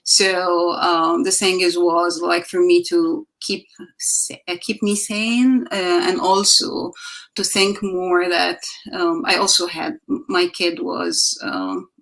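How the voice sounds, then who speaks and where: A middle-aged woman from Egypt whose first language is Arabic, giving a halting artist talk over slides, full of fillers and restarts. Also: on the low side, creaky, a bit bright, rough recording. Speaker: guest artist.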